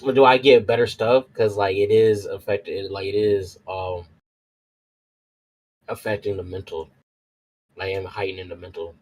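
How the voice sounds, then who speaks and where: A man's voice: fairly low pitch, fairly even intonation, unhurried, long pauses, a little mellow, rough recording. A man playing a tabletop roleplaying game, chatting casually and thinking aloud in slangy, repetitive phrases.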